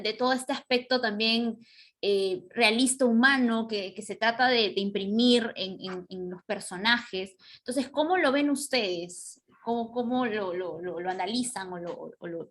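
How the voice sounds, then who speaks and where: A woman's voice: medium pitch, a little slow, fairly bright tone. A woman moderating a casual panel discussion, forming her questions tentatively and repeating words as she goes.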